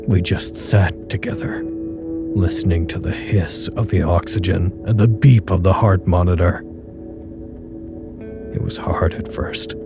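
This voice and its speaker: Male actor as weary grieving staffer, low mellow voice, subdued confessional log, halting delivery, music underneath. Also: lightly acted. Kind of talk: audio drama monologue.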